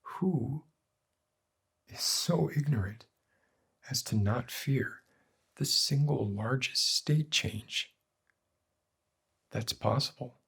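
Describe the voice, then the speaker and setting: A man playing a morbid, cynical doctor in an ASMR roleplay, lecturing in a pedantic, deliberate and bleak way with a husky voice. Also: fairly bright tone, exaggerated voice. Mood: insistent. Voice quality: husky, breathy, low. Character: morbid, cynical male doctor treating depression with despair.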